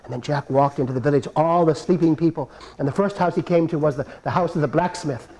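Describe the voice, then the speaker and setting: A man telling a folk tale at a live puppet performance, playful and animated, with chuckling asides. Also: a little high, quick pace, breathy edge, low-quality recording. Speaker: storyteller in a puppet show. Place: live performance before an audience.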